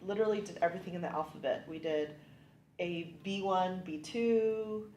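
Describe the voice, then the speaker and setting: Woman, thirties, from California, chatty and upbeat, lowish voice, roomy echo. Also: moderate pace, darkish tone. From California, United States. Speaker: immigration attorney, guest. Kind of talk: casual interview conversation. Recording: echoey room, faint background hiss.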